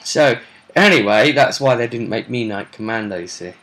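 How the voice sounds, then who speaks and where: A man with a bright, forward voice at a middle pitch. He recaps a tabletop role-play session as its game master, casual and chatty, wandering off into asides. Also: lively intonation, normal speed. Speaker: game master and vidcast host.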